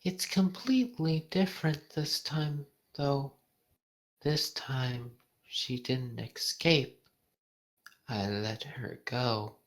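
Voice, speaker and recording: A bitter, wounded female machine mind as a reader acts her out, brooding over a loss in very slow, measured phrases. It is a dramatic fan fiction monologue. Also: mid-range voice.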